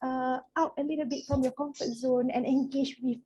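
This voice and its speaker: Husky Malaysian woman lecturing. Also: high voice, flat delivery, normal speed, warm tone, highs cut off. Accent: Malaysian English. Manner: conversational, checks in with "right?". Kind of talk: academic presentation.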